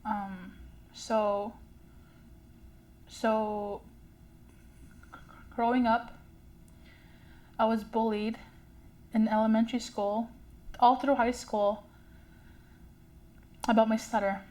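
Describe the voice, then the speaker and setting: A young woman who stutters, sharing a personal story in a slow, halting way with fillers and repeated sounds. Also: a little high, level tone, darkish tone. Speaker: community member sharing a story. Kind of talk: personal testimony.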